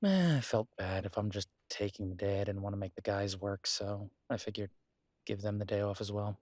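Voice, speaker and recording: A man's voice: lowish voice, fairly quick, darkish tone, dry recording, clean studio sound, close to an everyday voice. A man playing a caring, easygoing werewolf pack leader in an audio roleplay, talking in a casual, conversational way with trailing phrases. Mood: tender, relaxed.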